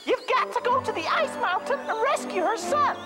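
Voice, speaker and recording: Squeaky young male frog. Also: expressive, moderate pace. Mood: urgent. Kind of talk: video game cutscene dialogue. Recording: loud music behind the voice.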